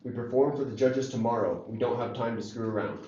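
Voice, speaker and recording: A man playing a stern, irritable high school choir director, curt and scolding. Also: a little fast, mellow, lightly acted. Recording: very echoey, muffled room.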